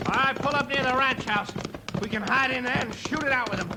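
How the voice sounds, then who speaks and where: An actor playing a cocky, cruel young outlaw leader with an American accent and a sneering, bossy drawl in a 1950s radio western. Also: male voice, very high voice, a little fast. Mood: urgent, commanding. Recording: noisy old radio broadcast recording.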